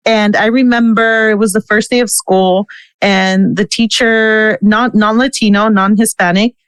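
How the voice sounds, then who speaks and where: A woman's voice: medium pitch, steady tone, unhurried, clean tone, no room sound. A woman born in the United States whose first language is Spanish, a podcast guest candidly sharing a personal story with frequent "you know" and "right". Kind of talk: casual interview storytelling.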